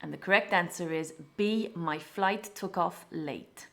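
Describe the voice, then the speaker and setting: A woman's voice: fairly low pitch, unhurried, a bit bright. Upbeat British woman teaching English. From Britain. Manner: clear, upbeat teaching delivery. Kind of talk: scripted online English lesson.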